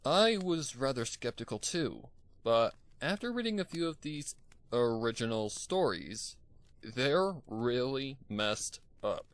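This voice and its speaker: A man's voice: mid-range voice, very animated, slow pace, no echo. A man voicing a young cartoon stallion, a bookish college student who is earnest and easily exasperated.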